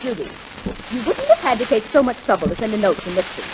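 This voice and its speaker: An actress playing a prim, exasperated spinster schoolteacher with sarcastic, precise delivery in a 1940s radio comedy, on an old hissy recording. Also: female voice, fairly high pitch, sing-song, quick pace. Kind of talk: 1940s radio sitcom acting.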